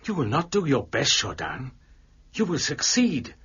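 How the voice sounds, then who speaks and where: An actor playing an overbearing, contemptuous prefect of police in an audio drama, giving imperious orders in formal language. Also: male voice, mid-range voice, huge pitch swings, a little slow, a bit bright, breathy edge, muffled recording, full character voice. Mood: stern, threatening. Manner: imperious, clipped commands, formal diction.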